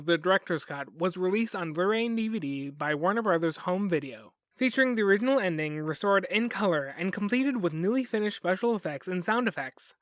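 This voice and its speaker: High-pitched, lively male podcast narrator. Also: no room sound. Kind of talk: scripted documentary narration.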